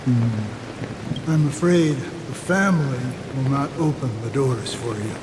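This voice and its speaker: An actor playing a solemn, cryptic man in a video game cutscene, speaking gravely and poetically with deliberate phrasing. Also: medium pitch, expressive. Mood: solemn, foreboding. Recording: echoing hall-like reverb with music.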